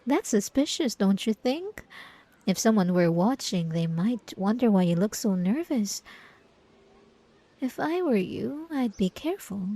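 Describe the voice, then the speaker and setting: A woman's voice: mid-range voice, expressive, dark tone. A woman playing a possessive, gaslighting ex-girlfriend in an ASMR roleplay, coy and mock-innocent with a sweet menace, speaking straight to the listener. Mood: quietly threatening. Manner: coy, teasing, mock-innocent, drawn-out pet names.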